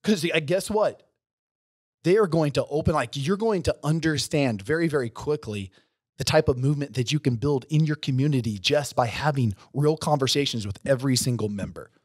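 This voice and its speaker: A man's voice: medium pitch, a little fast, husky, no room sound. A man in his forties co-hosting a business podcast, talking emphatically like a coach giving a pep talk, on a studio mic in a gym. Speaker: podcast co-host. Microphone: studio mic on boom arm, headphones.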